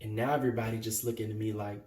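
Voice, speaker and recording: A man's voice: high voice, big pitch swings, unhurried, distant mic, slight background noise. A man performing a dramatic stage monologue as a wounded, indignant young man, theatrical and emphatic. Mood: hurt, subdued. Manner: theatrical, emphatic, swinging from outburst to hushed hurt. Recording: echoey room.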